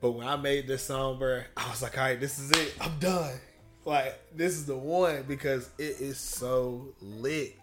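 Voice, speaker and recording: Young rapper dude w a deep voice, chill slangy talk, swears a lot, faint music behind. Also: male voice, fairly even intonation, unhurried, a bit bright. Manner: laid-back slangy talk, laughs often, swears freely. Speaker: rapper guest. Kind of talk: casual interview chat.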